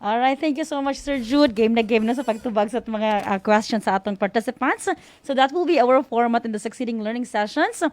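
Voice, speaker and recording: A woman's voice: fairly high pitch, fast, a little mellow, no echo. A Filipino woman with a Visayan accent hosting a school-on-the-air session informally, mixing English and Visayan and often adding "no?" as a tag. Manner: switches between English and Visayan, frequent "no?" tags. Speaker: host of a radio school program.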